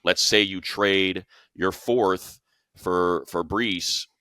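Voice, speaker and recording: Very deep-voiced American man talking sports. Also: fairly even intonation, very slow, bright, forceful tone, dry recording, clean studio sound. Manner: conversational, thinking aloud, checks in with "right?". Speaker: sports podcast co-host and analyst. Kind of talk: casual sports analysis.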